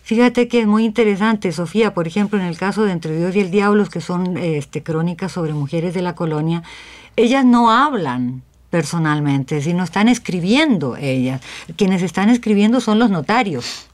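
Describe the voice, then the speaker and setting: Radio guest, female, lowish voice, explains reflectively and keeps checking the listener follows. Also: expressive, normal speed. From Chile. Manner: explanatory, punctuated with frequent check-in tags. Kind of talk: reflective discussion in a radio interview.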